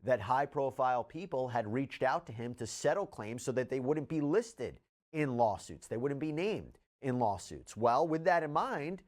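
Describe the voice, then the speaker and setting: A man with an average-pitched voice speaks in the style of a news report. He reads out statements in a measured, deliberate way, putting weight on important phrases. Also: expressive. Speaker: news commentator.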